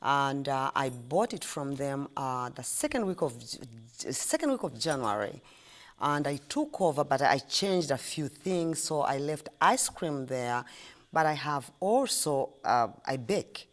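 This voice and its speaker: A woman's voice: a bass voice, big pitch swings, unhurried. A middle-aged woman from Uganda with a Ugandan accent, chatting as an interview guest and repeating phrases for emphasis. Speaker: interview guest, ice cream shop owner. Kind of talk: casual interview answers.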